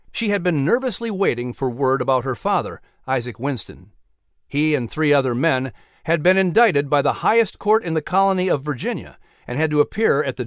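Man narrating a story in a lively voice. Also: fairly high pitch, no room sound. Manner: measured storyteller. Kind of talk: scripted narration. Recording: dry studio voice.

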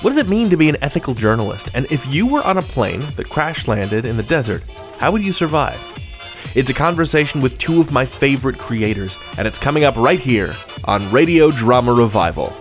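A man's voice: medium pitch, sing-song, fairly quick, music in the background. A man hosting a podcast, articulate and wordy, moving between scripted intros and conversational interviewing.

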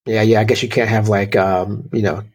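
Man, podcast host, bubbly, laughs easily, husky breathy tone. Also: fairly low pitch, level tone, a little fast, darkish tone. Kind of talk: podcast interview.

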